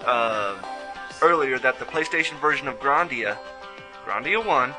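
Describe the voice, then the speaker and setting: A man hosting a review show, reading scripted commentary on video games with music behind him. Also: mid-range voice.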